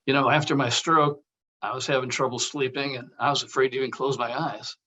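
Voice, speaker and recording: A man's voice: mid-range voice, steady tone, brisk, sharp tone, rough recording. A man playing an older actor, a blunt, teasing but caring old friend, in a conversational table read. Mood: concerned. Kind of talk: casual table read of a comedy-drama script.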